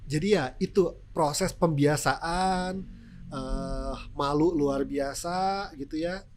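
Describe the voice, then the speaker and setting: A man's voice: on the high side, slow, a little hiss or hum. A man with a Sundanese accent, a native Sundanese speaker, explaining his work as a presenter in casual interview talk with acted-out examples. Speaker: television news presenter, podcast guest.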